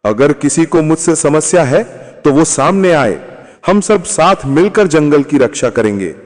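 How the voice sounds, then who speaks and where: A man's voice: fairly high pitch, lively intonation, measured pace, in a large hall. A man voicing a proud, lonely lion king with a commanding manner in an animal storybook tale. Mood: resolute.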